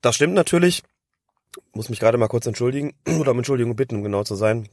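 A man, hoarse from a cold, giving long analytical explanations as a podcast co-host. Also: mid-range voice, expressive, fast talker, a little mellow, no room sound, studio quality. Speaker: podcast co-host discussing refereeing. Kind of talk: casual podcast chat.

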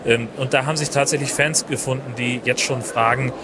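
A man hosting his podcast in a chatty, relaxed way with many fillers and restarts, recorded outdoors at a busy conference venue. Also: medium pitch, fairly quick. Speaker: podcast host. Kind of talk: casual solo podcast recap. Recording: noisy outdoor background. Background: crowd and traffic ambience.

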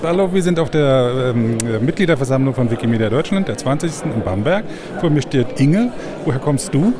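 A man interviews attendees at a crowded gathering, opening with upbeat introductions and short questions. His voice sits in the middle range, and a loud crowd murmurs behind him. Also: medium pitch, lively intonation, a little fast. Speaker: interviewer at a members' meeting. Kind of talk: friendly event interviewer. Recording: busy crowd noise behind.

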